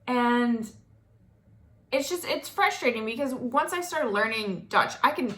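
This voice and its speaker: Bright-voiced chatty American woman. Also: on the high side, animated, a little slow. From Florida, United States. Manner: chatty, lots of fillers and asides. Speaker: vlogger. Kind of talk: casual talk to camera.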